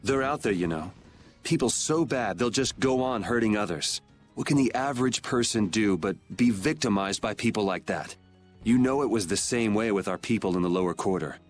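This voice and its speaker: A low-voiced young male vigilante acted by a man, cynical and defiant. He speaks bluntly, with a sardonic edge, as in an anime video game. Faint music plays behind him. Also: animated, normal speed, close to an everyday voice. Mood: bitter, defiant.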